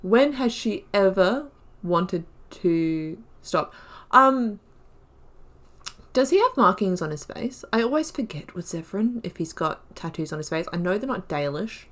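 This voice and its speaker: A young woman giving casual let's-play commentary, chatting and thinking aloud about her choices in the story. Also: mid-range voice. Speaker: gaming video creator.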